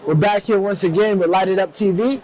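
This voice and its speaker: A man hosting a relaxed interview in a shisha lounge, talking in laid-back slang and peppering his lines with "man" and "definitely.". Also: high-pitched, brisk. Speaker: host. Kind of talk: casual hip hop interview.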